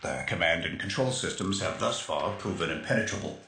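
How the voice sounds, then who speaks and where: A man acting as an analytical tech strategist for a resistance crew, explaining in a precise, measured way in a video game. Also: low voice, lively intonation, sharp tone. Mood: matter-of-fact. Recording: echoey, music underneath. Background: music.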